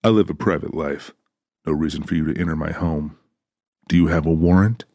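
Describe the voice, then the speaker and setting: A man's voice: fairly quick, mellow, no echo, studio quality. An actor playing a guarded, suspicious man who is terse and unwelcoming with police. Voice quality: very deep, husky.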